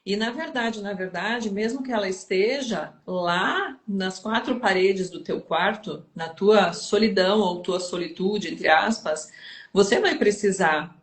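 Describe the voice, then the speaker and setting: A woman explains ideas in a measured, teacherly way. Her voice sits at a middle pitch. The sound is roomy, with a noticeable echo from the kitchen where the live stream is recorded. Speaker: guest speaker. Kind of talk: explanatory conversation. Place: home kitchen livestream.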